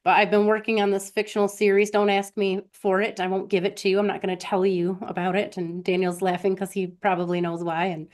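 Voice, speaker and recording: A woman's voice: medium pitch, level tone, fairly quick, hardly any pauses. A woman hosting a live stream, telling personal stories in a casual, conversational way and talking directly to her listeners.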